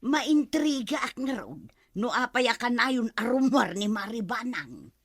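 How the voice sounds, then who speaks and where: A woman with an Ilocano accent playing a nosy, suspicious village gossip in a radio comedy drama, animated and insistent. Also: fairly high pitch, measured pace, slightly rough, heavily acted. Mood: suspicious, gossipy.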